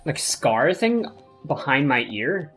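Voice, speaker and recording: A man's voice: a little high, sing-song, slow pace, fairly bright tone, faint music behind. A man streaming a game, chatting casually and rambling with lots of fillers. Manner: rambling, many fillers and restarts. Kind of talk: casual gaming commentary.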